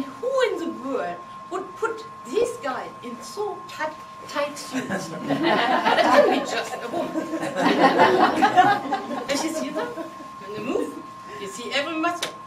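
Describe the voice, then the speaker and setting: A woman with a German accent delivers a humorous contest speech, teasing and playful, with slightly non-native phrasing. Her voice sits high and swings widely in pitch. She is heard from a distance in an echoey hall, with background noise. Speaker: contest speaker. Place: meeting hall with audience. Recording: echoey hall from far off, noisy.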